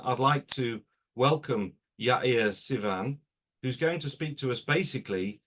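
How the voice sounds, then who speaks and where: A man chairing an online evening talk over a video call, polite and hesitant with frequent pauses. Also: medium pitch, a bit bright. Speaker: event chair and host.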